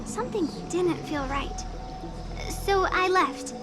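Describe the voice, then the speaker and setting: A woman's voice: very high-pitched, slow. A woman voicing a timid, bookish young female shop clerk in a video game, hesitant and apologetic. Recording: music under the voice.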